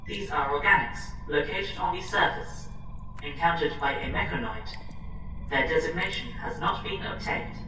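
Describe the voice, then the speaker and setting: A man's voice: a little high, moderate pace, bright, forceful tone, a little hiss or hum. A man playing a polite, literal-minded android soldier in a sci-fi audio drama, speaking in a formal, precise, stilted way. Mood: calm, literal. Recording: echoey, distant, music and effects underneath.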